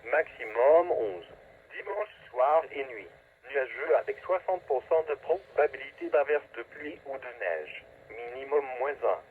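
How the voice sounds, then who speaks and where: Casting: male automated weather announcer, mid-pitched, clipped scripted delivery, heard through a narrow, noisy radio channel. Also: expressive, a little slow. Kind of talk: scripted weather forecast reading. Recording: tinny phone-like weather radio, static noise.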